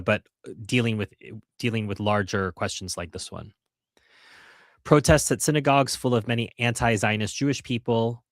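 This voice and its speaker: A man's voice: lowish voice, fairly bright tone, no echo, clean studio sound. Earnest man hosting a livestream. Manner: earnest, with restarts and wry asides. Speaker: host of a livestream. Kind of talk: casual livestream commentary, reading chat.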